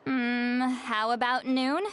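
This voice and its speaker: An actress playing a proud, easily flustered shaman woman in a video game, huffy and expressive. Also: a little high, slow, slight background noise. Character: haughty, easily flustered young-sounding woman shaman, secretly bookish.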